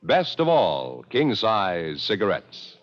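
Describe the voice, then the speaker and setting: A man delivering an advertising pitch as an old-time radio commercial announcer. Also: wildly swooping pitch, slow. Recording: muffled old radio recording, crowd-like din.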